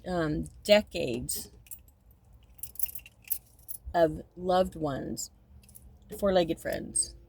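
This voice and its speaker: A woman's voice: fairly low pitch, very slow, creaky, pauses often, darkish tone, slight background noise. A woman in her fifties talking in a casual, rambling monologue, often repeating and restarting her sentences, and at times turning emphatic and indignant. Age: 50s.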